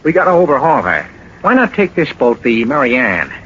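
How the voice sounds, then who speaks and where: Folksy boat hand, hasty n animated, forties radio, muffled. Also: male voice, medium pitch, moderate pace. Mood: eager, hurried. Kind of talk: 1940s radio drama acting. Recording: muffled 1940s radio recording, faint noise.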